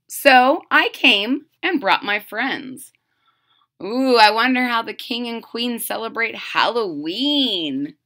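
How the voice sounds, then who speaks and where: A middle-aged woman giving an expressive, exaggerated children's read-aloud with casual asides. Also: mid-range voice. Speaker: storyteller reading a picture book. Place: small room at home, indoors.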